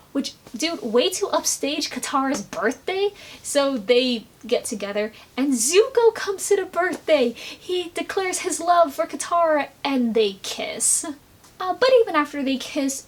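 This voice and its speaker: A woman giving a casual, animated commentary recap with sarcastic asides. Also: sits high. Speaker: video commentator.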